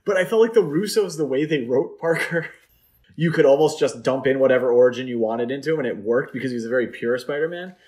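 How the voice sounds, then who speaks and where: A man's voice: medium pitch, fast talker, darkish tone. A man hosting a podcast, chatting casually about films in a rambling, opinionated way. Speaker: podcast host. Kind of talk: casual film discussion chat.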